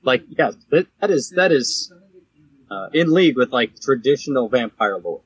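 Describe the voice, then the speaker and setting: A man's voice: medium pitch, expressive, normal speed, breathy edge, lo-fi sound. A man co-hosting a podcast, talking in casual, unscripted chat. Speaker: podcast co-host.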